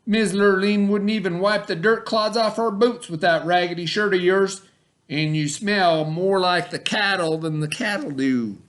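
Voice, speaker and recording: A man voicing spoiled, bossy young cowboy stepbrothers in a shrill, drawling storybook voice. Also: unhurried, a bit bright. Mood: mocking.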